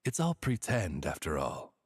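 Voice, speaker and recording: A dignified, deferential familiar from a video game, speaks like a formal butler. The voice is on the low side, with theatrical rises and falls. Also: male voice, wildly swooping pitch, normal speed. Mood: reassuring. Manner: formal, courteous, grave.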